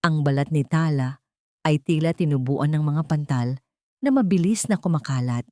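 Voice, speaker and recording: Audio story narrator: woman, somewhat low, dark mellow tone, dry close sound, scripted melodrama. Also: moderate pace, dry recording.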